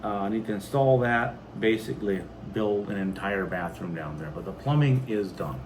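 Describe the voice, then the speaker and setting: A man's voice: lowish voice, moderate pace, noisy background. A man with an American accent chatting casually on a homestead vlog in a plainspoken, folksy way. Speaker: homesteading video host.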